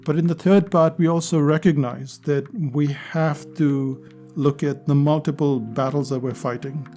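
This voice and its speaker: Mellow-voiced man hosting a podcast. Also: a little high, fairly even intonation, measured pace, soft background music. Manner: earnest, conversational, repeats phrases for emphasis. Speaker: podcast host. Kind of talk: solo podcast monologue.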